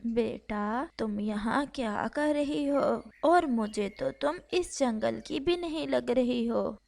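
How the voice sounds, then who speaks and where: A kindly old dove aunt from a children's cartoon acted by a woman. She speaks softly and gently, with motherly care. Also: fairly high pitch, slow, gravelly. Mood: gentle concern. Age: older. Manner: gentle, soft, caring. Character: kind elderly female dove, motherly.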